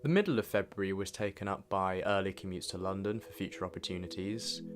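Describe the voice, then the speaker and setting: A man reading a scripted, reflective year-in-review narration in a measured way as a vlog narrator. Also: low-pitched.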